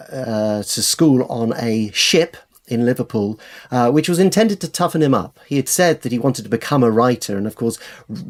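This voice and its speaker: A man's voice: mid-range voice, a little mellow. A man with a British accent speaking as a scholarly interview guest, telling stories with enthusiasm and hesitant, repeated words. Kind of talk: conversational interview, storytelling.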